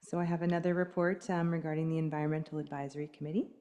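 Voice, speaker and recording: A woman with a hoarse voice from a cold, reading a staff report as corporate officer at a council meeting. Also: low-pitched, fairly even intonation, very fast, warm tone, highs cut off. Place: council chamber. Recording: long hall reverb.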